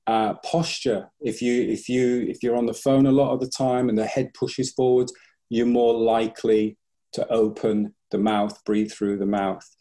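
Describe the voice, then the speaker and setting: Man, breathing coach, podcast interview, warm mellow voice, many restarts, quotes clients in character. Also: mid-range voice, level tone, a little slow. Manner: thoughtful, restarts often, acts out clients' voices.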